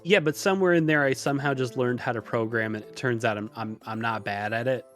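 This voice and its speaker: A man talking casually as a podcast guest, telling stories in an animated, self-deprecating way with exasperated asides. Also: mid-range voice, fast, soft background music. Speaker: podcast guest, illustrator and programmer.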